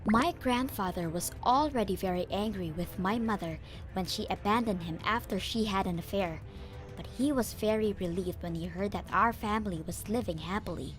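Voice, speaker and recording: A woman's voice: mid-range voice, normal speed, soft background music. A woman reading scripted story narration as the narrator of a comic dub.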